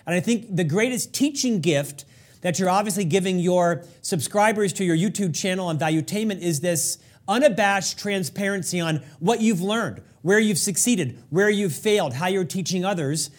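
Male interview host, effusive, asks long-winded questions, high-pitched. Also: moderate pace. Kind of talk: interview hosting.

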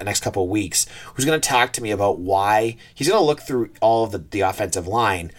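A man with a nasal, congested voice from a cold, hosting a sports podcast in a rambling, chatty way. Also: lowish voice. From New England. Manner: rambling, self-correcting, chatty. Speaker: podcast host. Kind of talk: casual sports podcast talk.

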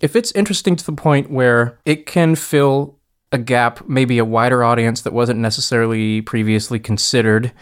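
A man's voice: medium pitch, normal speed, smooth. A man chatting casually as a podcast guest and audio producer, thinking aloud in an informal way. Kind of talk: casual chat.

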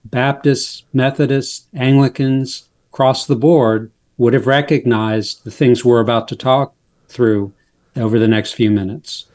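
Measured man explaining faith. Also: medium pitch, fairly even intonation, a little mellow. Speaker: pastor and author, guest. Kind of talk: interview on faith.

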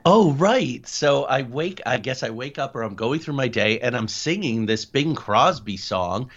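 A middle-aged man answering interview questions as a guest, explaining things in an animated, anecdotal way. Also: mid-range voice, unhurried. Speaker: interview guest, paranormal investigator and writer. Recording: dull, lo-fi audio.

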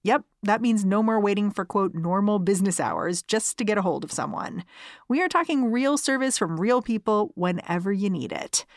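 A woman's voice: mid-range voice, animated, moderate pace, no room sound, clean studio sound. A woman announcer giving an upbeat, polished ad read. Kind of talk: scripted ad read.

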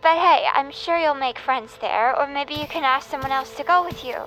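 A hurt, apologetic teenage girl voiced by a woman with a fairly high voice. She speaks hesitantly and quietly in naturalistic audio-drama style, over music. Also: normal speed, low-quality recording. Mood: apologetic, reluctant.

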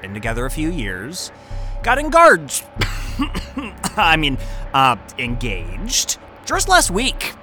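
An actor playing a cocky, talkative young job candidate who stammers and rambles in a naturalistic audio drama. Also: male voice, on the high side, wildly swooping pitch, slow, pauses often, sharp tone, soft background music, exaggerated voice. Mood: nervous, awkward. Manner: stammering, rambling, self-interrupting.

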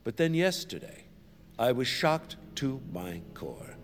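An actor playing a smug, scheming senator in audio fiction, pompous and needling like a practised orator. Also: male voice, mid-range voice, sing-song, slow pace, long reverb tail, slight background noise. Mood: outraged oratory. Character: smug, scheming senator, mocking political rival.

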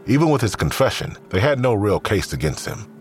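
A man's voice: on the low side, big pitch swings, a little fast, faint music behind. A man reading scripted narration for an audio drama.

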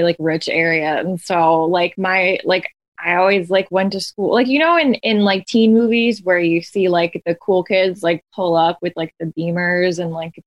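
Lower-voiced woman with a New Jersey accent. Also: highs cut off. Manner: halting, filler-heavy storytelling. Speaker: podcast guest. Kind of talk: casual chat.

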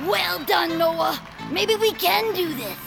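An anxious female contestant in an audio drama, with a very high, creaky voice. She speaks slowly and hesitantly, pleading her reluctance in swinging tones. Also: expressive, music in the background, full character voice. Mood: nervous. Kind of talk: audio fiction acting.

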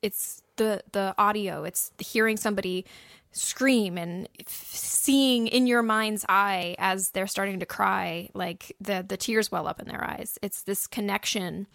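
A woman's voice: medium pitch, unhurried, creaky, a bit bright, no room sound, clean studio sound. A woman co-hosting a podcast as a writer, explaining things in casual, informal chat. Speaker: podcast co-host and audio drama writer.

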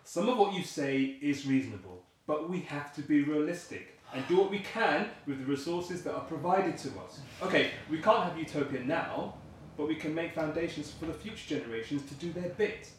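A man's voice: a little high, lightly acted. A man playing an earnest, pragmatic engineer in a naturalistic audio play. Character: earnest, pragmatic older-generation agency engineer. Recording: very echoey room, faint noise.

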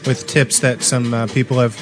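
A man's voice: mid-range voice, level tone, moderate pace. A man co-hosting a podcast in casual group chat, with a teasing, joking manner. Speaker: podcast host. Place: dark room at a gaming LAN party. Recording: music playing in the background.

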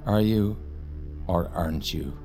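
Audio fiction role: low-pitched male interrogator, condescending, quietly menacing, faint music beneath. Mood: calm, condescending. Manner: measured, condescending. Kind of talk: naturalistic audio fiction acting.

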